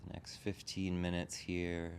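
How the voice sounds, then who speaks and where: A man leading a guided meditation as a teacher, gently instructing in short, spaced phrases. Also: completely flat delivery, unhurried, creaky. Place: group meditation session in a room. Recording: background rumble and noise.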